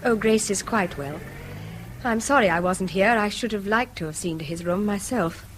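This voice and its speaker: An actress playing a restrained, wistful middle-aged English wife in a radio drama, with a breathy, husky edge. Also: female voice, medium pitch, normal speed, a little hiss or hum, lightly acted. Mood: subdued confession.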